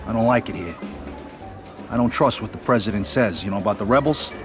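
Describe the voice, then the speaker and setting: A man's voice: lowish voice, brisk, lightly acted. An actor playing a tough, uneasy male mob lieutenant in a video game. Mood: uneasy, dismissive. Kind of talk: video game cutscene acting. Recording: music under the voice.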